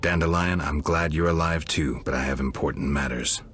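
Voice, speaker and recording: An actor playing a stoic, blunt monster hunter for hire in a video game, speaking in a terse, dry, restrained way. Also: male voice, very deep voice, fairly even intonation, normal speed, a bit bright, faint music behind.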